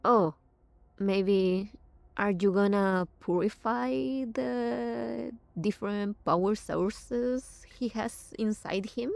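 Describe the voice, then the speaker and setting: Slow-talking woman reacting to a game. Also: mid-range voice, creaky, a little mellow, no echo. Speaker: gaming streamer. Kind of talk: casual live game reaction commentary.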